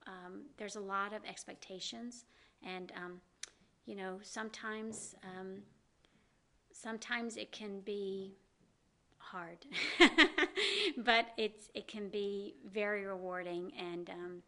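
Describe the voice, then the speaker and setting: A woman's voice: medium pitch, slow, sharp tone. A middle-aged woman with a slight Southern US accent, answering interview questions earnestly with many ums and you-knows. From American South. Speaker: executive director of a downtown organization. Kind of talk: interview guest.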